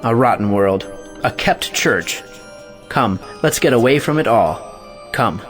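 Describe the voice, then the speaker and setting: A man's voice: mid-range voice, animated, unhurried, fairly bright tone, faint music behind. A man playing a cynical, defiant free-thinker in an audio play, speaking with sardonic emphasis. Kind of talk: audio stage play acting.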